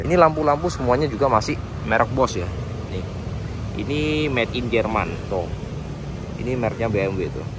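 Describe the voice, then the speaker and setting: A man giving an informal, slangy, enthusiastic walkaround sales talk on a car. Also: medium pitch. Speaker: car seller presenting a used car. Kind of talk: casual walkaround review to camera. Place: outdoors by a car and inside it. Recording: clear outdoor and car background noise.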